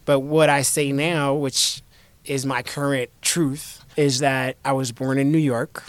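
A man's voice: medium pitch, little pitch movement, clear, resonant tone, dry recording. Reflective male investor, dry close sound. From United States. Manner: reflective, measured, with repeated starts. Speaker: investor guest. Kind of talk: conversational interview.

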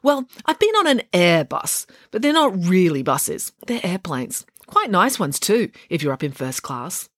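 Storybook role: woman playing an unflappable, privileged girl, breezy and exact, with bouncy, varied pitch. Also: big pitch swings, a little slow, hardly any pauses, a bit bright, dry recording, studio quality, exaggerated voice. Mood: blithe, boastful. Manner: blithe, precise, matter-of-fact. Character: chatty, posh, unflappable know-it-all rich girl.

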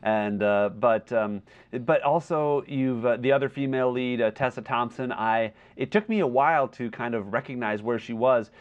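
A slightly dull, lo-fi recording of a man with a mid-pitched voice. He talks casually about film as a podcast co-host, halting often as he searches for the right word.

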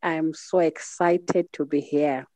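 A woman's voice: low-pitched, fairly even intonation, takes it slowly, mellow, no room sound. A middle-aged woman with a Zimbabwean accent, telling her personal story reflectively as a podcast guest.

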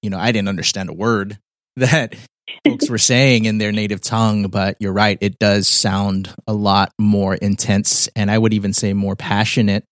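A man interviewing a guest in a relaxed conversation, thoughtful and hesitant, often restarting his sentences. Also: on the low side, normal speed, no echo, studio quality.

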